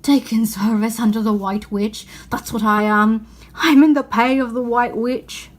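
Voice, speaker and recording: A woman's voice: fairly high pitch, fairly even intonation, a little slow. A woman reading a storybook, voicing a sorrowful, guilt-ridden male faun with a lamenting, self-reproaching manner. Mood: ashamed.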